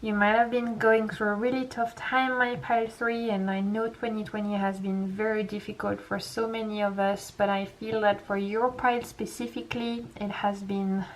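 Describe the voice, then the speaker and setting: A young woman with a French accent, a French native speaker giving a gentle, reassuring pick-a-pile tarot reading full of casual fillers. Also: mid-range voice, level tone, moderate pace, few pauses, a little hiss or hum, rough recording. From France. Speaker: tarot reader.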